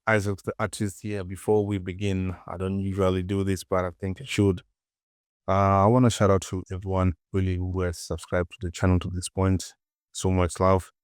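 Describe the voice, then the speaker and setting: A man giving an informal software tutorial as a voice-over, often repeating words and short phrases. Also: deep voice, little pitch movement, normal speed, clean tone, no echo, clean studio sound. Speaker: 3D modelling tutor. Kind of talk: casual screen-recorded software tutorial.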